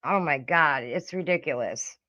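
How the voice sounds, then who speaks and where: A woman's voice: low-pitched, measured pace, sharp tone, highs cut off. A middle-aged woman giving casual, profane reaction commentary in a blunt, indignant, scolding manner. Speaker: reaction video host.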